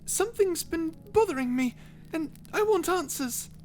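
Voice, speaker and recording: Tiny feisty mouse: high, swooping voice, excitable and proper, for audio drama. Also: female voice, moderate pace. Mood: alarmed, indignant. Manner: excitable, indignant, proper, stammering. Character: cute, feisty, earnest little mouse companion. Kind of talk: character audio roleplay.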